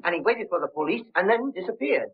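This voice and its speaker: A husky-voiced gang henchman in an old 1930s crime film. He speaks fairly high for a man, through a thin, narrow soundtrack. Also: very animated, fast, a little hiss or hum. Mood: puzzled.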